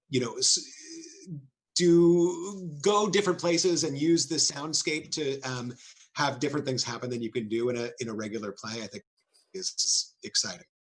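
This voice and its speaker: A man with a husky voice of medium pitch talks on a panel. He is thoughtful and hesitant, letting short phrases trail as he gathers his ideas. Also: fairly bright tone, low-quality recording. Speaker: playwright, panel guest. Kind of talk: unscripted panel discussion.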